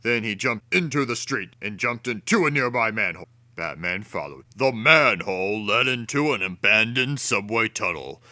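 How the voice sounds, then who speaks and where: A man's voice: on the low side, lively intonation, moderate pace, pushed and bright, dry recording, clean studio sound. A man giving a theatrical, over-emphatic dramatic reading of a children's storybook. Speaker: storybook reader.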